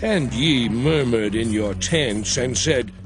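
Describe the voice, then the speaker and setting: A man voicing Moses, slow and solemn. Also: mid-range voice, close to an everyday voice. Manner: slow, solemn, dramatic recounting. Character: Moses, aged solemn male prophet and leader. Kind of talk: dramatized scripture narration. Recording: studio voice over music. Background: music.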